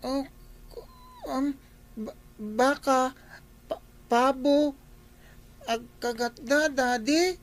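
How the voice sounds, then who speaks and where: Woman playing a whiny stammering little boy, high voice, very slow speech, radio drama. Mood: fretful. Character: small boy, fretful and stammering.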